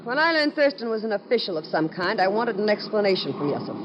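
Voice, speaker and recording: An actress playing a proud, defiant woman pilot under suspicion in old radio drama, crisp and direct. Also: mid-range voice, very animated, fast talker, close to an everyday voice. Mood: guarded. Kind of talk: golden age radio drama acting. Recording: noisy muffled old radio recording, long reverb.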